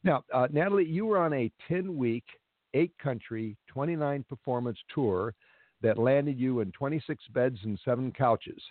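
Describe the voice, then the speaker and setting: A man hosting a radio music show, interviewing a guest in a relaxed, conversational way. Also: medium pitch, normal speed, dry recording. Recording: tinny phone-like line.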